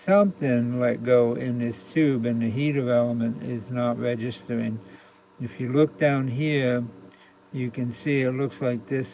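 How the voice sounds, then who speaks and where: A man with a creaky, fry-heavy voice gives a casual hands-on demonstration. He talks slowly and haltingly, working things out aloud. The phone recording is dull and lo-fi. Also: mid-range voice. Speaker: radio repair hobbyist. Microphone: phone camera propped near the workbench. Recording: lo-fi phone recording with faint noise.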